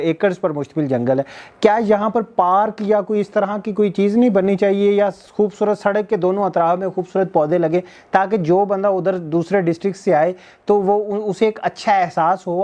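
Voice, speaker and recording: A Pakistani man hosts a local news program, his voice pitched a touch above most men. He asks his guest courteous, formal questions that lift in pitch at the end. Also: a little mellow. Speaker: TV show host.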